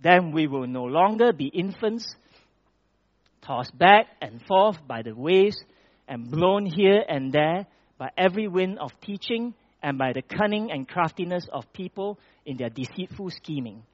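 A man's voice: high-pitched, lively intonation, measured pace, no echo, muffled recording. A man from Malaysia preaching a sermon, reading scripture with rising emphasis and then talking plainly with small restarts.

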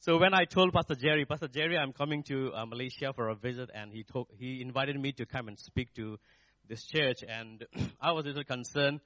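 A man in his forties, a native Nepali speaker with a Nepali accent, preaching in a halting, searching way as a guest speaker at a church. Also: medium pitch, fairly bright tone, dry recording. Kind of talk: sermon. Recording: dull, dry sound.

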